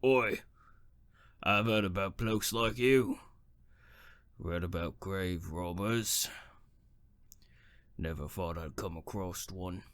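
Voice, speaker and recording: Male actor as suspicious caretaker for horror audio play. Gruff, scolding, lowish voice, dry recording. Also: slow pace, pauses often, fairly bright tone, no echo. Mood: accusing. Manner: scolding, colloquial. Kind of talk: audio horror drama acting.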